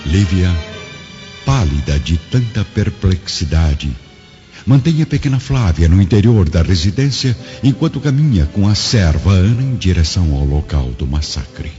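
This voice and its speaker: A man's voice: low-pitched, sing-song, a little slow, lo-fi sound. A man narrating a scripted radio drama in a solemn, theatrical, emphatic manner. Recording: band-limited sound, loud music underneath.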